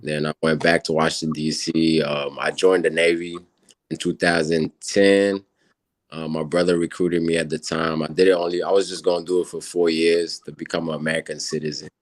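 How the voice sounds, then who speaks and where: A man's voice: very deep voice, a little fast, no echo. A man in his thirties from Senegal, a native Wolof speaker, chatting casually as an interview guest with many fillers. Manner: relaxed, rambling with many fillers. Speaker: guest musician.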